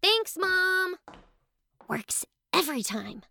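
A woman's voice: extremely high pitch, sing-song, slow, gravelly, sharp tone, slight background noise, exaggerated voice. A woman playing a bold, bossy, scheming young girl in a scripted family audio drama. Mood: feigned innocence. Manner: bossy, impatient.

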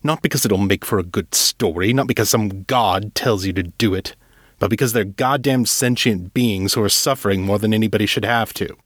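A man voicing a weary, gruff old man who speaks gravely and bitterly, in an audiobook read by its author. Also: fairly low pitch, brisk, fairly bright tone, no echo, clean studio sound. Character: weary old male street elder, gruff.

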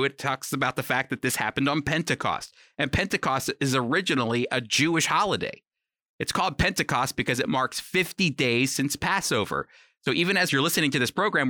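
Guy doing a radio bible teaching, bright forceful voice, super dry close sound, emphatic and chatty. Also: a little high, no echo. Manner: emphatic, direct address with rhetorical questions. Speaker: Bible teacher and program host. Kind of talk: conversational teaching sermon, prepared radio talk.

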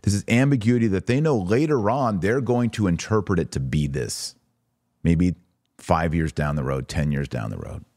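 Low-pitched man, animated podcast commentator. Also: normal speed, no room sound, clean studio sound. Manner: emphatic, acts out imagined objections and replies. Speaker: podcast host. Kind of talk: religious commentary to a live online audience.

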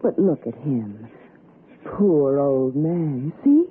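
An actress playing a scheming, cajoling city wife in a theatrical old radio suspense style. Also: female voice, very deep voice, expressive, slow, narrow, tinny audio, heavily acted. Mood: coy coaxing. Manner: coaxing, theatrical. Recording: thin, noisy 1960s radio recording.

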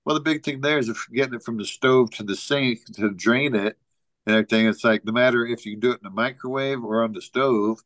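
A man's voice: medium pitch, a little fast, a bit bright, dry recording. A man co-hosting a casual talk show, telling an anecdote in a rambling way with lots of "you know" and frequent chuckles. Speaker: podcast co-host.

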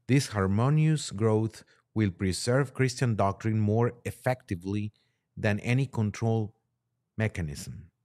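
A man discussing religion in a halting, deliberate, explanatory way, as a priest and podcast guest would. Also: on the low side, darkish tone. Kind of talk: religious discussion, reading aloud and commenting.

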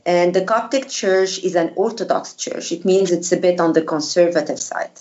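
A woman from Egypt with an Egyptian accent, speaking English as a second language, a former diplomat answering interview questions in a measured, hesitant way. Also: fairly low pitch, a little mellow, muffled recording. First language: Arabic.

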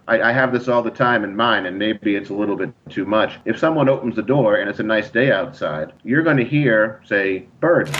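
A man's voice: lowish voice, fairly bright tone. A man talking conversationally as a guest writer, self-deprecating and rambling as he gives advice. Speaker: guest, audio drama writer. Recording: muffled lo-fi with background noise.

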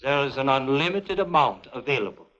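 An actor playing a suave, menacing foreign spy who speaks with formal, mock-courteous precision in an early 1950s TV drama. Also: male voice, mid-range voice, animated, fairly quick, fairly bright tone, natural voice. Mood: threatening. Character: suave, menacing male foreign spy villain, coldly polite. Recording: muffled vintage 1950s TV soundtrack.

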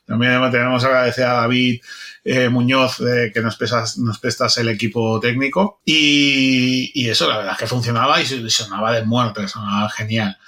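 A man's voice: medium pitch, fairly even intonation, normal speed, sharp tone. A man with a Castilian Spanish accent from Spain, chatting casually and enthusiastically in a group, often repeating his phrases. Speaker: audio fiction project member.

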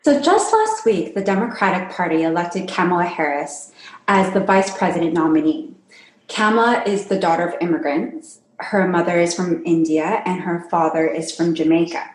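A woman co-host brings in the topic and poses questions in a clear, scripted way. Her voice is on the low side and echoes in the room. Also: animated, normal speed. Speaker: podcast co-host. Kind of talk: podcast interviewing.

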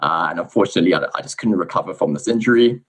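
South African man with a low voice. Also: racing, slightly rough. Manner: relaxed, explanatory storytelling. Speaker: guest researcher. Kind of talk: casual podcast interview.

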